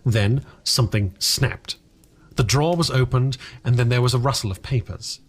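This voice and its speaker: A man reading fiction aloud as a dramatic audiobook narrator, deliberate and expressive, with the occasional stumble of a single take. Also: medium pitch, slightly rough.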